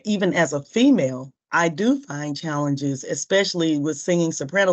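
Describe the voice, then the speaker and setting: A hoarse woman, a workshop participant and singer, asking a question politely and hesitantly with many fillers. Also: deep voice. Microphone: video call. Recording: dull lo-fi video call audio.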